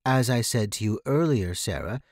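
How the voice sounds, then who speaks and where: A man's voice: lowish voice, animated, normal speed, a little mellow, no echo, clean studio sound. A man voicing a stern, imperious woman who runs a household in a story, speaking curtly. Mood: stern, commanding. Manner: curt, imperious, growling. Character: stern, commanding older woman running a household.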